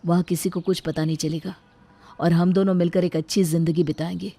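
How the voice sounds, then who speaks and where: A woman's voice: fairly low pitch, level tone, fairly quick, mellow. A woman as an earnest, protective young man. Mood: earnest, reassuring. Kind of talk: dramatized Hindi moral story dialogue.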